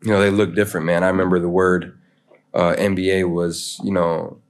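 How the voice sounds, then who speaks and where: Deep, flat-voiced American man. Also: brisk. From United States. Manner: relaxed and rambling, peppers talk with "man" and "you know". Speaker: interview guest, pro basketball player. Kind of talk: casual podcast chat.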